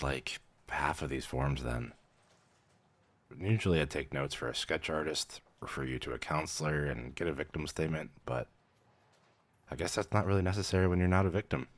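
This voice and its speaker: Casting: male actor as weary vampire clerk-medic for ASMR audio drama, extremely low voice, measured, dry, professional edging into casual. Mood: baffled, hesitant, then casual, matter-of-fact. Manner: measured, clinical, dryly matter-of-fact, hesitant when surprised. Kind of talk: scripted ASMR roleplay.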